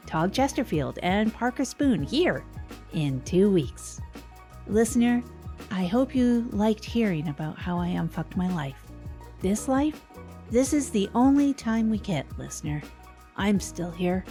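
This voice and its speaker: Upbeat community radio host played by a woman: lively pitch, earnest and encouraging, improvised comedy, music underneath. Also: natural voice. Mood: sincere, signing off. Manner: eager, reassuring. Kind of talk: improvised comedy podcast acting.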